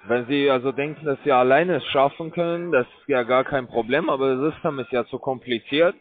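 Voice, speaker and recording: A man calling from a scam call center, speaking broken full of fillers as he pushes his pitch. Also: brisk, faint music behind. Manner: broken German, filler-heavy, pushy salesman. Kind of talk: phone call. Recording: tinny phone line.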